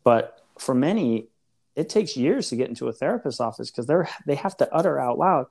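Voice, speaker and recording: A man's voice: mid-range voice, animated, fairly quick, gravelly, a little mellow, husky. A man who works as a therapist, speaking as a guest in a reflective, explanatory way with frequent fillers and restarts. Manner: thoughtful, explanatory, many hedging fillers. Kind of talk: reflective conversation.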